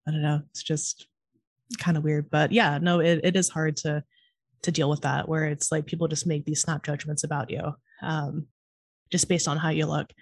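Brief: Californian woman, twenties, rapid casual talk full of "um" and "like", dry close mic. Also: deep voice, flat delivery, no room sound. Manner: fast-talking, chatty, many fillers. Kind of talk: casual conversation.

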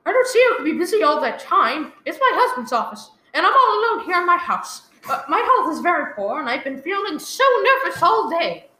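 A woman's voice: extremely high pitch, sing-song, brisk, hardly any pauses, sharp tone, slight background noise, exaggerated voice. A woman playing a nervous, demanding invalid wife in a melodramatic radio play. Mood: fretful. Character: nervous, imperious invalid wife, shrill and frantic. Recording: echoey live room recording.

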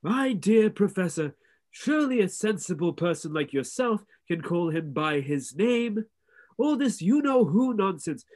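A man voicing a calm, kindly old wizard. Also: high-pitched, a little slow, pitched above the performer's own voice. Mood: gentle.

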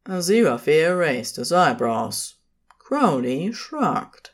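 A woman's voice: sits low, very animated, takes it slowly. A woman narrating scripted fiction as the storyteller of an audio story.

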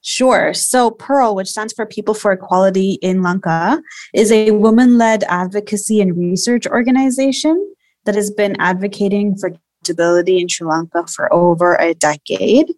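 A woman's voice: mid-range voice, moderate pace, a little mellow, no room sound. A woman speaking as an interview guest, an advocate explaining her organization's work. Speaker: guest, human rights advocate and lawyer. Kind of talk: interview answer.

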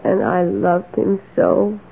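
An actress playing a lonely, timid, lovelorn housemaid no longer young, hesitant and wistful, in 1970s radio drama style. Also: female voice, medium pitch, big pitch swings, slow, gravelly, breathy edge, full character voice. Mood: forlorn longing. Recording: muffled, phone-like 1970s radio recording.

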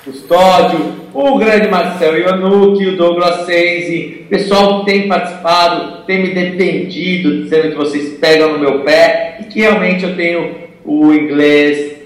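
A man's voice: high-pitched, steady tone, unhurried. A man co-hosting a podcast in casual, teasing banter. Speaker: podcast co-host. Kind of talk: casual chat. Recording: echoey room.

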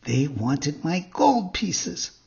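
A man voicing a naive, eager young wooden puppet boy in a storybook reading, earnest and excitable. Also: on the high side, drawn-out words, creaky, lo-fi sound, full character voice. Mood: anxious, earnest.

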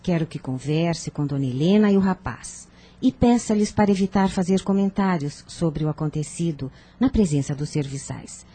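Radio drama: protective middle-aged lady of the house, mellow husky voice, measured and confiding. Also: fairly low pitch. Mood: grave, confiding. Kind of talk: radio soap opera acting.

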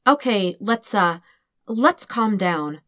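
A narrator voices a calm woman with a careful, measured delivery. She is patient and sympathetic, with gentle exasperation, in a dry, close audiobook recording. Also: mid-range voice, no room sound, pitched above the performer's own voice. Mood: puzzled, soothing. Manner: measured, careful, matter-of-fact. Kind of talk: fan fiction audiobook reading.

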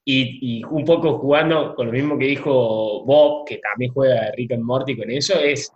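A man chatting casually. He is relaxed and laughs often between his thoughts. His voice comes through echoey and a little dull, as if from a room with a cheap mic. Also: mid-range voice, normal speed. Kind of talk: casual podcast chat. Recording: roomy, muffled mic.

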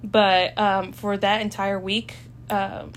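A woman's voice: mid-range voice, little pitch movement, slow, slight background noise. Chatty young woman from El Salvador. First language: Spanish. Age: young adult. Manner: chatty, hesitant with many fillers, laughs easily. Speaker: student guest. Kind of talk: casual chat.

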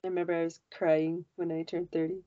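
A woman's voice: lowish voice, little pitch movement, mellow, no room sound. Woman, forties, podcast co-host, teasing banter, lo-fi sound. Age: 40s. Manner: teasing, quick interjections. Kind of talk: casual group chat. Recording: dull lo-fi sound.

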